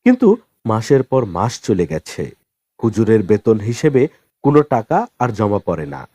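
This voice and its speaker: Mellow male audiobook narrator. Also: lowish voice, huge pitch swings, measured pace, no room sound, clean studio sound. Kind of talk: scripted novel narration.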